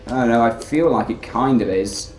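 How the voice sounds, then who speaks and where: Rambling monotone man over game music. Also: mid-range voice, moderate pace, echoey room, poor audio. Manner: rambling, filler-heavy, self-described monotone. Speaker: let's play commentator. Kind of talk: casual gameplay commentary.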